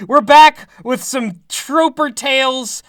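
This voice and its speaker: A man's voice: very high-pitched, takes it slowly, a bit bright, dry recording, studio quality. A man co-hosting a comedy podcast with jokey, playfully melodramatic banter. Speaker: co-host.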